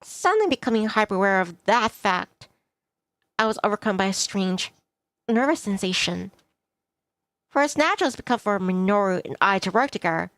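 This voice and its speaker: A woman's voice: mid-range voice, expressive, moderate pace, a bit bright, no room sound. A woman reading a visual novel aloud expressively as she plays, with music behind her. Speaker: VTuber playing a visual novel. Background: background music.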